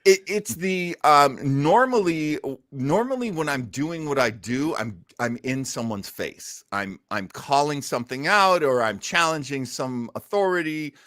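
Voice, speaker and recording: A man's voice: on the high side, expressive, a little slow, fairly bright tone, no echo, studio quality. A man hosting a casual podcast about old-time radio, chatting and commenting with wisecracking banter. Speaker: podcast host.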